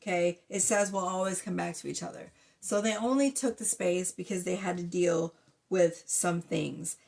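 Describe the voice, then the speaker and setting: A woman giving a casual tarot reading to her viewers, blunt and profane in her chatty way, talking through a sore, slightly hoarse throat. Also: fairly low pitch, fairly even intonation, normal speed, a little mellow. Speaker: tarot reader.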